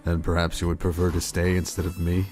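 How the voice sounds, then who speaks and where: A man playing a calm, courteous older gentleman in a game fan dub, speaking in a measured, formal way. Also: low voice, close to an everyday voice. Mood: gentle, resigned.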